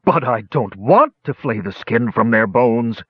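Guy doing a whiny pleading dragon for a fantasy audiobook, husky, kinda muffled recording. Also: mid-range voice, expressive, unhurried, dry recording. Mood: whimpering. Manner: whining, pleading.

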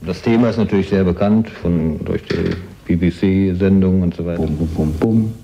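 Man, deep and very dark-toned, informal recollections with bits of hummed motif. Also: normal speed, vocal fry, a little hiss or hum. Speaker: interviewee. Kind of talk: casual reminiscence with hummed motif. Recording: dull, muffled recording.

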